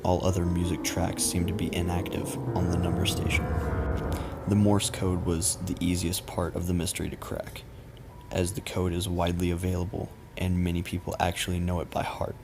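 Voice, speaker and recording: A man reading a scripted creepy story as narrator in a measured, matter-of-fact way. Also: deep voice, little pitch movement. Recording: music behind the voice. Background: background music.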